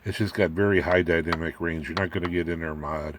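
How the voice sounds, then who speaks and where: A man with a low, deep voice explains things informally as he works at his bench. His delivery is folksy and rambling, with some dry humor. The handheld recording carries some background noise. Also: fairly even intonation, brisk. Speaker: electronics hobbyist host. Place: indoor home electronics workbench. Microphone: handheld camera or phone mic, off screen.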